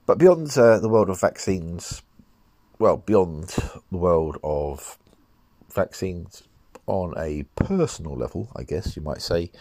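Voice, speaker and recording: Deep, nasal man with a cold. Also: darkish tone, dry recording. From United Kingdom. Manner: measured, rambling, self-correcting. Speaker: podcast host. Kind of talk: solo podcast monologue.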